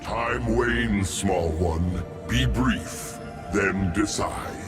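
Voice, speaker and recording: Casting: imperious ageless game overlord, lowish pitch, unhurried measured menace, condescending and formal. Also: male voice. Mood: imperious, ominous. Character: ancient otherworldly male ruler, imperious, coldly patient, condescending. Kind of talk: video game cutscene acting. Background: music.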